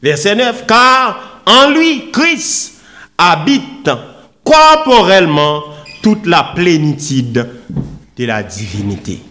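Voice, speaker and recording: A male preacher delivers a sermon at a slow, deliberate pace. His voice sits high for a man and moves widely up and down. He is oratorical and emphatic, leaning on pauses and repetition. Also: big pitch swings, pushed and bright, slight background noise. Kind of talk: sermon with scripture readings and rhetorical questions.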